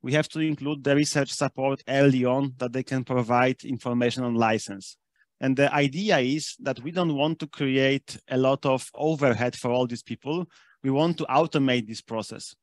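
A man's voice: medium pitch, no echo. Man presenting, close dry sound. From Austria (based there). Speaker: conference presenter. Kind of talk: technical presentation with slides.

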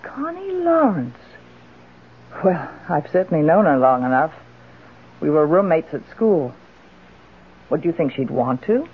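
An actress playing a genteel, well-to-do middle-aged widow in a radio drama, gracious and expressive. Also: female voice, low-pitched, unhurried, pauses often, breathy edge, full character voice. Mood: questioning, wistful. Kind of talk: old-time radio drama acting. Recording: muffled, band-limited old radio broadcast.

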